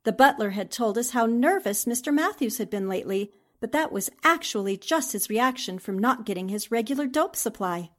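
Woman acting a high-voiced, plucky newspaper reporter. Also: animated, normal speed, hardly any pauses, close to an everyday voice. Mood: explaining. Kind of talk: 1940s radio drama acting.

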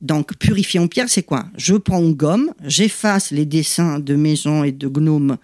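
A woman's voice: deep voice, a little slow, no echo. Italian-accented woman explaining in a dry room. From Italy. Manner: animated and explanatory, frequent "tu vois" asides and restarts. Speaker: guest, lithotherapist. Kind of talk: conversational interview explanation.

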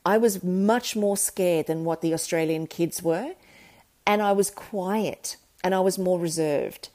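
A woman's voice: on the low side, unhurried, mellow. A woman from South Africa hosting a podcast, telling her life story in a candid, reflective and earnest way. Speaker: podcast host. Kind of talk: personal storytelling monologue.

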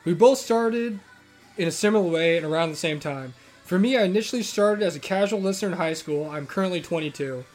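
A jokey man co-hosts a relaxed podcast and reads listener emails aloud. He banters playfully and trips over unfamiliar names. His voice is a bit high for a man. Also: brisk, faint music behind. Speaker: podcast co-host. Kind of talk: casual podcast chat, reading emails aloud.

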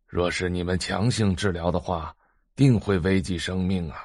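A pompous old male physician in an audio drama. He is grave and condescending, with a slightly low voice and a very dry recording. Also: steady tone, moderate pace, a little mellow, dry recording. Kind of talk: multi-voice audio drama acting.

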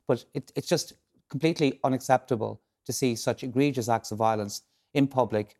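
An Irish man, a political journalist, analysing events in a measured way on a radio panel. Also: medium pitch, a little mellow. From Ireland. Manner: measured, analytical, with frequent restarts.

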